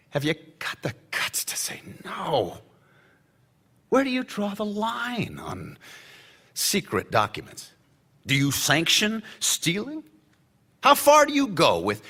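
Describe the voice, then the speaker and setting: Sarcastic party-crasher insider, swoops up and down, laughs between jabs. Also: male voice, high-pitched, huge pitch swings, slow pace, pauses often, sharp tone, husky, in a large hall, exaggerated voice. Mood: needling. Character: brash boozy male government insider at a party. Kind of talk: radio play acting.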